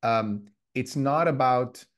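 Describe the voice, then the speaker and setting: Man, 50s, from Switzerland, podcast co-host, thoughtful, halting, self-correcting, mid-pitched voice. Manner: reflective, restarts often, lots of fillers. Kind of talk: casual conversational podcast.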